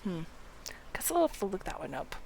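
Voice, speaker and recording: A woman's voice: fairly low pitch, big pitch swings, moderate pace, vocal fry, a little mellow. A woman livestreaming a video game, chatting casually and murmuring half to herself as she plays. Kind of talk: casual game playthrough commentary, reading dialogue aloud. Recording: faint background noise.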